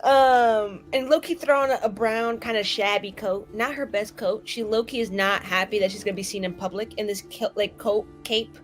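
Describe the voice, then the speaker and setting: A woman's voice: mid-range voice, fairly even intonation, moderate pace, few pauses, faint music behind. A woman playing a tabletop game, narrating her character in a chatty, slangy, playful way.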